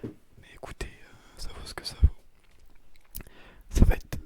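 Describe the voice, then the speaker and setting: A young man whispers breathily into a close microphone in a casual ASMR style, rambling hesitantly and talking himself down. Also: measured pace. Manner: hesitant, rambling, self-deprecating. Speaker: ASMR video creator. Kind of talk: ASMR, casual chat to viewers. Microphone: close, sensitive microphone with occasional breath puffs and bumps.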